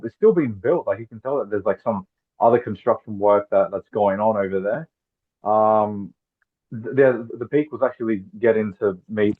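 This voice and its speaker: A man from Argentina talking casually and chattily over a video call. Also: lowish voice. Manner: chatty, filled with "like". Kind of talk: casual conversation. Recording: tinny phone-like line.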